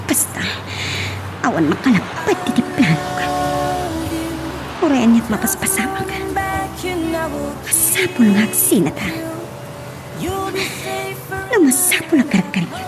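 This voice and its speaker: A woman's voice: high-pitched, sing-song, unhurried, pauses often. A woman playing a scheming, hot-tempered daughter in a radio drama, with an agitated, emphatic delivery. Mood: brooding, scheming. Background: music.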